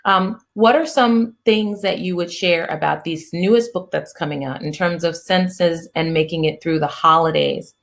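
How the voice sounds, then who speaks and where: A middle-aged woman hosting an interview, talking in a soft, soothing way with many restarts. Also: on the low side, normal speed, creaky, hardly any pauses. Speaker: interview host, psychiatrist. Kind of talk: casual interview chat.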